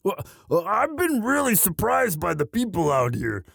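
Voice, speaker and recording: A man playing a young orc, a gentle himbo sweetheart who talks in a halting, stammering, bashful and earnest way in a romantic roleplay audio. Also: high voice, wildly swooping pitch, creaky, husky, no room sound, studio quality, full character voice. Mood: tender, earnest.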